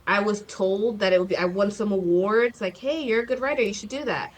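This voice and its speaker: A woman in her thirties, a guest author chatting casually in an interview, talking fast with lots of fillers and acted-out quotes. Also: mid-range voice. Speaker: guest, children's book author.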